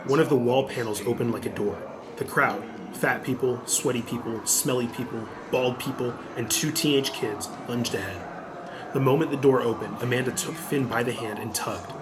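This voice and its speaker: A man's voice: medium pitch, moderate pace, background noise. A man narrating a scripted adventure audiobook as the storyteller.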